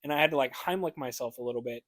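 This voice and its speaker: A man's voice: medium pitch, rapid-fire, fairly bright tone. A young man as a podcast guest, joking casually with slangy, sweary banter.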